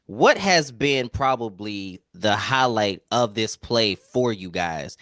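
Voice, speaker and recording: An American man with a fairly low voice hosts a casual interview. He speaks informally with some slang, and the sound is close and dry with no room echo. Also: expressive, takes it slowly, a bit bright. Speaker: interviewer, entertainment reporter.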